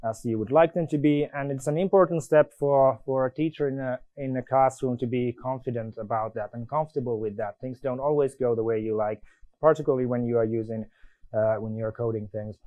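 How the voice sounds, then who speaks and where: Finnish man with a dark, halting delivery. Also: medium pitch, dry recording, low-quality recording. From Finland. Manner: halting, many restarts and fillers. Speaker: conference presenter. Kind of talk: live coding demo talk. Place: conference room.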